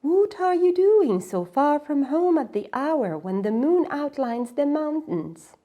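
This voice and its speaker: Caring storybook river played by a woman, soft, soothing, patient, in no hurry. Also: high voice, very animated, slow, narrow, tinny audio, voice pushed up, exaggerated voice. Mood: concerned. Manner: soft, lulling. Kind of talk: children's storybook read-aloud.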